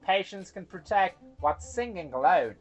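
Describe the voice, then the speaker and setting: A woman's voice: lowish voice, lively intonation, normal speed, dull audio, faint music behind. A woman from Iran telling stories for children in a dramatic, playful way, with casual asides. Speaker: storyteller and host.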